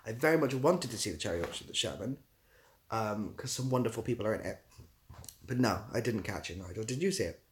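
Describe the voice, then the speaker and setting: A man's voice: on the low side, expressive, fast, a little hiss or hum. A young man with a southwest English accent, hosting a casual livestream in a rambling, self-deprecating way. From southwest England, near Bath. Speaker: livestream host and YouTuber.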